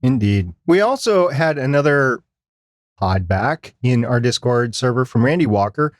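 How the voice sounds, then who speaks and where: A man's voice: mid-range voice, expressive, measured pace. A man with a mid-range voice talks as a podcast co-host. The sound is dry and free of room echo, and his style is casual discussion.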